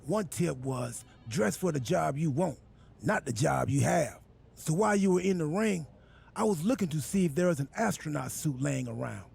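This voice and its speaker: Video game role: quirky, self-important wrestling boss, husky and heavily gravelly, unbothered and rambling. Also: male voice, fairly high pitch, expressive, normal speed, darkish tone, soft background music, full character voice. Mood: upbeat, self-important. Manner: rambling, absurdly literal, unbothered by insults. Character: quirky, oblivious wrestling general manager, cheerfully self-important man.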